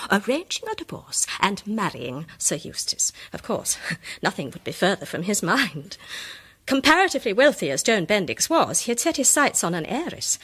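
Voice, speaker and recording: Shrewd, husky lady sleuth. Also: mid-range voice, fairly bright tone. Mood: cool, knowing. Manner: articulate, deliberate, theatrical reveal. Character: shrewd, self-assured woman amateur detective laying out her case. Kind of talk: British radio drama acting.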